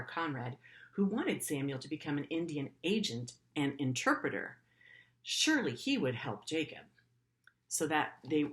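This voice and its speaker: A middle-aged woman teacher reading a story aloud to pupils in an earnest way, with simple, informal explanatory asides. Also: low-pitched.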